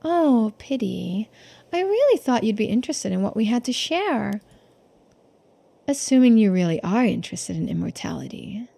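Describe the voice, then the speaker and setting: A woman voicing a poised, unflappable female investigator in a video game, polite and gently needling in measured, formal phrases. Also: on the high side, big pitch swings, vocal fry, full character voice. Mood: coyly probing. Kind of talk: game character voiced in a playthrough.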